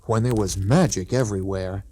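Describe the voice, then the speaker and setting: A man's voice: fairly low pitch, moderate pace, very noisy. A man narrating a scripted children's story in 1960s storybook-record style.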